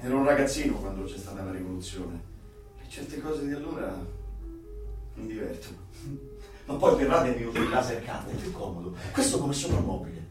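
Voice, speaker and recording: Radio drama: anxious, secretive middle-aged man, male actor, hesitant yet expressive delivery, echoey recording. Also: fairly low pitch, moderate pace, natural voice. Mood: guarded. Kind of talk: radio drama acting, dialogue and inner monologue. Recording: echoey, distant, music or noise behind.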